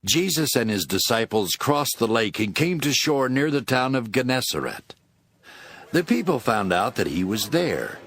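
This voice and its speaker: A man narrating scripture as a scripted, dramatic story in a measured storytelling manner. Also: mid-range voice, big pitch swings, fairly bright tone, husky, dry recording. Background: instrumental music.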